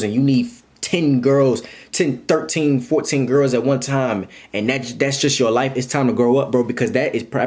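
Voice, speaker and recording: A man's voice: medium pitch, a little mellow. Emphatic young man giving blunt advice. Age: 20s. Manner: emphatic and repetitive, talks straight to viewers. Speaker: vlogger giving life advice. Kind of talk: casual motivational monologue.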